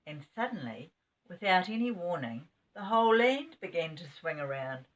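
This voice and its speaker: A woman's voice: fairly low pitch, big pitch swings, moderate pace, distant mic, highs cut off. An older woman reading a children's storybook aloud as a grandmother would, expressively and with small asides to her listeners.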